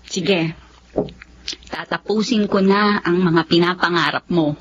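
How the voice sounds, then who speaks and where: A Filipino woman playing a gentle, sensible young girlfriend and dutiful daughter in a radio drama, earnest in delivery. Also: on the low side, a little slow, husky. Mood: tender. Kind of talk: Tagalog radio drama acting. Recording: dull lo-fi radio drama, noisy ambience.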